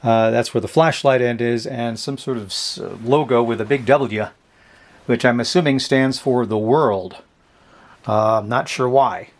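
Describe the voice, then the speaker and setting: Man giving a halting, offhand product demo. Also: medium pitch. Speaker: host of a vintage radio review channel. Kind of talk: casual unscripted product demonstration.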